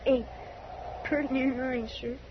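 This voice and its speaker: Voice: sits high, flat delivery, slow pace. A performer playing an earnest eight-year-old farm boy with folksy, rustic country talk, in 1950s radio western style. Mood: confessing, upset. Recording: muffled, faintly noisy vintage radio recording.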